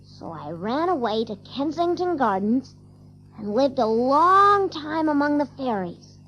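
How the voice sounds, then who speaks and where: Cocky, high-voiced little boy. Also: sing-song, a little slow, a bit bright, muffled recording, slight background noise, heavily acted. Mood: earnest. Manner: boastful, childlike. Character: cocky, boastful ageless little boy who can fly. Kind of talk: audio drama acting of a storybook play.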